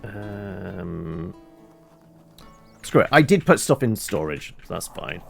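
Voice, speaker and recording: A man's voice: sits low, very animated, slow pace, gravelly, soft background music. A British man giving casual, chatty live commentary as he plays a game, with wry asides. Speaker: game streamer.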